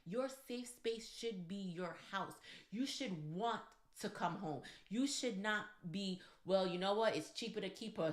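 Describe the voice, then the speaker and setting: Animated woman with a low voice. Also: reverberant. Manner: animated, preachy, addresses listeners directly. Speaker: co-host. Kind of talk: casual podcast talk with her husband.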